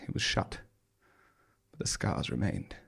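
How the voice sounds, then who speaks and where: A man performing a theatrical horror monologue as a haunted man dwelling on gruesome details, with a husky, gravelly voice. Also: a little slow, a bit bright, lightly acted. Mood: uneasy. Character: haunted man recounting a grisly hotel nightmare, wry and dramatic. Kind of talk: dramatic monologue performed on stage.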